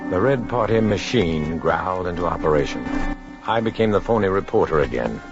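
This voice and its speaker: An actor playing a weary, wry undercover infiltrator in a 1950s radio drama. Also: male voice, low-pitched, expressive, fairly quick. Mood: wry, terse. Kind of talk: 1950s radio drama acting and first-person narration. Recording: dull old radio recording with music.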